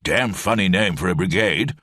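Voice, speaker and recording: A man's voice: low voice, normal speed, a bit bright, no echo, studio quality. A man voicing an elderly, bumbling brigadier with clipped, rambling upper-class speech. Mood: gruff.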